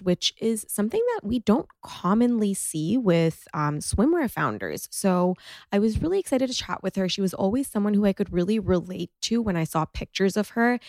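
Woman podcast host, stuffy from a cough, low-pitched with gravelly fry; chatty, gushing delivery on a dry close mic. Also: darkish tone, no echo. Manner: chatty, gushing, many fillers like "like" and "um". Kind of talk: casual solo podcast intro.

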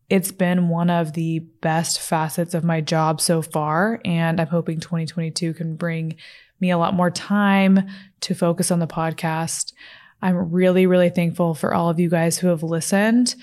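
A woman's voice: on the low side, darkish tone. A woman in her late twenties hosting her own podcast, chatting candidly and casually with lots of fillers and the odd swear word. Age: 20s. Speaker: podcast host. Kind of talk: casual solo podcast chat. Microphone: studio mic, seated.

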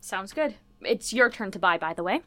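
A woman's voice: medium pitch, animated, normal speed, bright, forceful tone, dry recording. A woman playing an easygoing radio producer, chatting casually in an audio drama. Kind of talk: casual radio play dialogue.

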